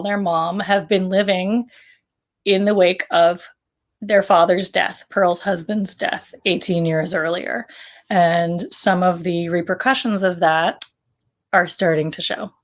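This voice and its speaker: A woman's voice: on the low side, takes it slowly. A middle-aged woman talking casually as a co-author, presenting a fiction podcast. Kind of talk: casual promotional talk about a story.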